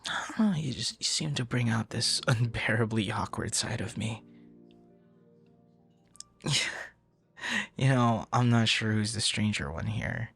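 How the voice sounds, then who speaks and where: Male actor as smitten, awkward young guy for ASMR romance roleplay: slow, halting delivery, gravelly creak, shy and flustered. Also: lowish voice, a bit bright, soft background music, full character voice. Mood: bashful, flattered. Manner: stammering, bashful, awkward, breathy laughs and sighs. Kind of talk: ASMR boyfriend roleplay acting.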